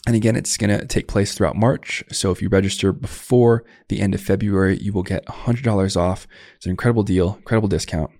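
Deep-voiced enthusiastic male podcast host. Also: fairly even intonation, fast talker, a little mellow, no echo, studio quality. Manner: enthusiastic, effusive praise. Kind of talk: podcast ad read and interview.

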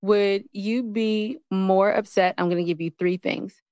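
Woman, medium pitch, blunt, playful, slangy, casual couples' show co-host, dry close sound. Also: fairly even intonation, measured pace, darkish tone, no room sound. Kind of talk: casual, unscripted relationship chat.

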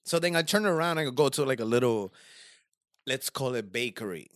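A man's voice: medium pitch, animated, fairly bright tone, no room sound. Rambling man telling a story casually. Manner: rambling, halting storytelling with many fillers; slangy. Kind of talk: casual chat.

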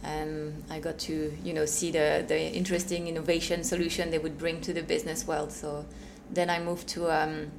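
A young woman from Switzerland, a company co-founder, answering interview questions in a soft, relaxed way. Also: low voice, normal speed, hardly any pauses, noisy background.

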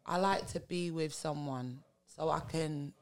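A young adult woman from England with a London accent, a podcast guest talking candidly and laughing through animated stories. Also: deep voice, unhurried. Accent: London English. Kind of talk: casual chat.

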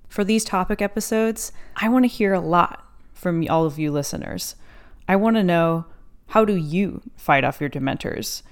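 Upbeat woman hosting a podcast, friendly, voice a bit low. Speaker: podcast host.

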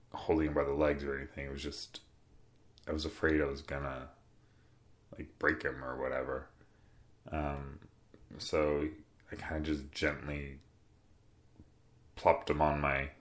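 Deep-voiced man telling a story casually. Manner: relaxed, rambling, soft-spoken with fillers. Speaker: podcast host.

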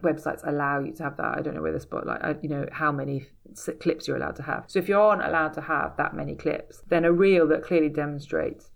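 A woman's voice: low voice, steady tone, fast talker, creaky, few pauses. A woman working as an audiobook casting director, answering interview questions in an earnest, emphatic way with many restarts and "you know"s.

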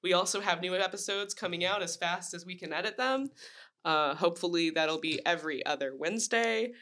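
A woman co-hosting a podcast in casual, joking chat, playful and quick to laugh. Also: fairly low pitch, a bit bright. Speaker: podcast co-host.

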